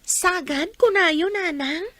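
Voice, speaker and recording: A woman's voice: extremely high pitch, takes it slowly, bright, forceful tone, exaggerated voice. A woman playing a dutiful young daughter caring for her ailing mother in a Filipino radio drama, with a Filipino accent. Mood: attentive, puzzled.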